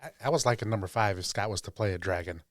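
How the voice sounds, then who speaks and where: A man's voice: lowish voice, rapid-fire, slight background noise. A man dropping casual side remarks into a podcast conversation. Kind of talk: casual interjections.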